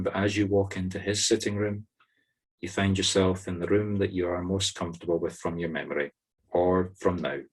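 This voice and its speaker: A Scottish man with a low voice leads a guided meditation. He gives short, soothing instructions and leaves pauses between them. The sound is that of a video call. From Scotland. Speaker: spiritual medium leading a meditation group. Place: online video-call circle.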